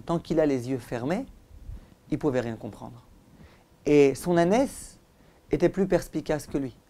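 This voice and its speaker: A man, a rabbi, giving a religious lesson as animated storytelling, with dramatic pauses and direct address to his listeners. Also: a little high. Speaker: rabbi, teacher.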